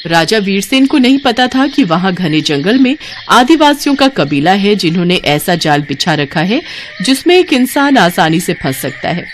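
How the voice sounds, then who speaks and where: A woman's voice: mid-range voice, sing-song, moderate pace, slight background noise. A woman narrating a children's moral tale as scripted storytelling.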